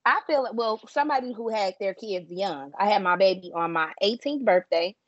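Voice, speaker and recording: A woman in her thirties talks in a loose, casual way, full of fillers and the occasional swear. Her voice sits at an ordinary pitch. The recording is dry, with no room sound, and a little dull in the highs. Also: a little fast, a bit bright, no room sound. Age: 30s. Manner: loose, profane, chatty with lots of fillers. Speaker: podcast co-host. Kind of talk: casual chat.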